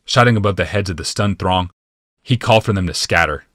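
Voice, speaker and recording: A man narrating a fantasy audiobook from a script in a measured, deliberate and dramatic manner. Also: low voice, a bit bright, dry recording, clean studio sound.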